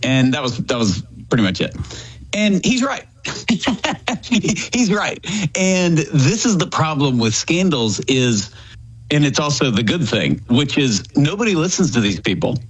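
A man in his thirties, a guest on a talk radio show, speaking in an earnest, animated way and laughing easily. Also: a little high, a little slow, slightly rough, rough recording.

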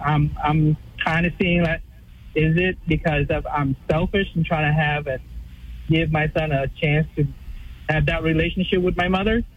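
A man in his thirties from Vietnam, calling in to ask for advice, speaking haltingly with many fillers and restarts. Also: a little high, phone-quality sound. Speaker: caller. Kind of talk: call-in advice question.

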